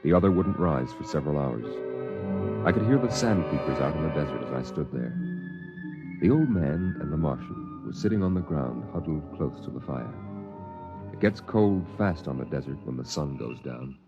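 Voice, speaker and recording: An actor playing a reflective man recalling his boyhood, narrating a vintage radio drama in measured, descriptive storytelling. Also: very deep voice, animated. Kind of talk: scripted first-person radio drama narration. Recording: muffled old radio recording. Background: prominent music.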